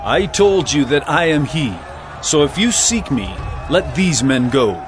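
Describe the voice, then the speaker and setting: Solemn commanding teacher, raised pitch, emphatic declamation, audio Bible drama, music behind. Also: male voice, sing-song, a little slow, a crowd in the background. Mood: calm authority. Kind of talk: dramatized scripture acting.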